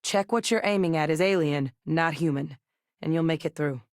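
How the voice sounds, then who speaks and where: A composed, low-voiced woman scientist. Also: moderate pace, no echo, clean studio sound. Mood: steady. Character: composed, earnest, duty-minded woman scientist in a space game. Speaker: video game voice actress. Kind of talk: scripted game character dialogue.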